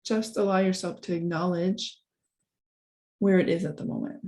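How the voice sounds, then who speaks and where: A woman leading a guided meditation, giving soft instructions in a calm, gentle, unhurried manner with long pauses. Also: on the low side.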